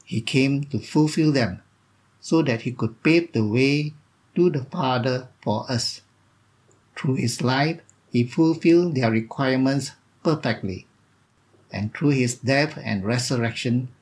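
Slow-paced man reading a devotional, slightly husky. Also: mid-range voice. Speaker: devotional reader.

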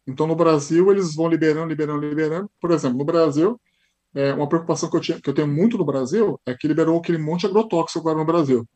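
A man's voice: a little high, racing, a little mellow. A Brazilian man with a São Paulo accent, a podcast guest who tells stories in casual chat and acts out the dialogue he quotes. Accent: São Paulo Portuguese.

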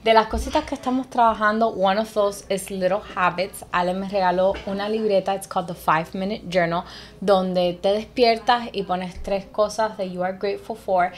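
A woman's voice: mid-range voice, fairly even intonation, normal speed. A woman co-hosting a podcast in a casual chat, playful and teasing, who chimes in with short remarks. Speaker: podcast co-host.

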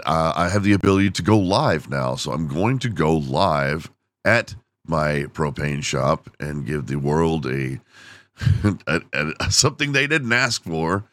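A man's voice: very deep voice, fairly bright tone, no echo. A man hosting a casual solo podcast, rambling and self-deprecating with frequent laughs, and losing his voice from overuse. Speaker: podcast host.

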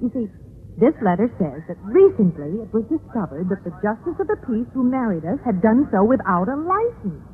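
An actress playing an earnest, scheming middle-aged housewife in a chatty, confiding manner in a vintage radio sitcom. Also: female voice, fairly high pitch, very animated, brisk. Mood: confiding, eager. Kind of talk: 1950s radio sitcom acting. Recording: tinny, noisy old-time radio recording.

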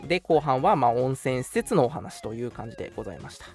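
A man's voice: mid-range voice, lively intonation, fast, a little mellow. A man hosting a casual radio show, chatting politely and making announcements over background music.

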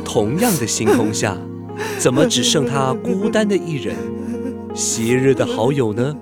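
A man's voice: mid-range voice, sing-song, a little slow, loud music behind the voice. A man narrating a scripted drama as a dramatic storyteller.

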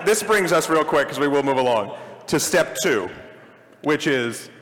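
A man's voice: on the high side, expressive, unhurried, fairly bright tone. Male panel host in an echoing hall. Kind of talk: moderating a live panel discussion. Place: convention hall, live stage panel. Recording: live panel in a reverberant hall.

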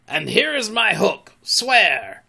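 A man's voice: sits high, wildly swooping pitch, slow pace, creaky, sharp tone, husky, pitched above the performer's own voice, exaggerated voice. A man voicing a theatrical, menacing pirate captain with grandiose, archaic, commanding speech in a storybook reading. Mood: scheming.

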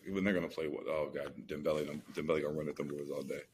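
A man's voice: a bass voice, fairly even intonation, rapid-fire. A man co-hosting a podcast, arguing sports opinions in a casual, slangy way, with some noise on the recording. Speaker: podcast co-host.

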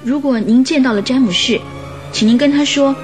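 A woman's voice: sits high, normal speed, soft background music, close to an everyday voice. An actress playing an innocent, sorrowful young woman in a radio drama, speaking politely and hesitantly. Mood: earnest, hopeful. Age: young adult. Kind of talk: Mandarin radio drama acting.

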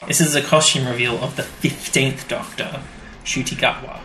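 A man's voice: mid-range voice, steady tone, moderate pace, pushed and bright. A man co-hosting a podcast in casual chat, explaining things with a fan's enthusiasm. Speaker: podcast co-host. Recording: echoey room.

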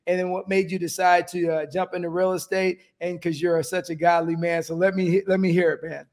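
Hyped-up male podcast host, high voice. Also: fairly quick. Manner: energetic, hyped-up, friendly banter. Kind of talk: casual podcast interview. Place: podcast studio.

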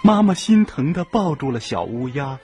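A man narrating a children's radio play in an expressive storybook style. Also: a little high, moderate pace.

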